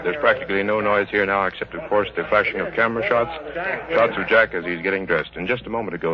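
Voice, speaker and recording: A man reporting live as a 1930s radio announcer, with florid, formal phrasing. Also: fairly low pitch. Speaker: dressing-room radio reporter. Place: indoor stadium dressing room. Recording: dull 1930s radio broadcast.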